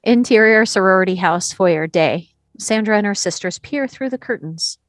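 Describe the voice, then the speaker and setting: A woman's voice: medium pitch, lively intonation, moderate pace, dry recording, studio quality. A woman reading scripted stage directions as the narrator of a screenplay table read.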